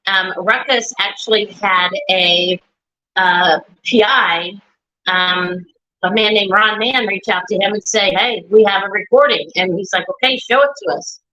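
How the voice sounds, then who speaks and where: A woman speaking loudly and at length on a live stream from an echoey room, repeating her points as she talks. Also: medium pitch, measured pace. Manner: loud, talkative, repeats herself. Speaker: guest, bereaved mother.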